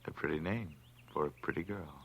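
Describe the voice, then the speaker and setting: An actor playing a smooth, predatory middle-aged man with insinuating talk in a 1940s radio suspense drama. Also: very deep voice, fairly quick, fairly bright tone. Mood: creepy, intimate. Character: smooth, predatory male stranger, around forty, secretly a strangler. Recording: noisy vintage radio recording.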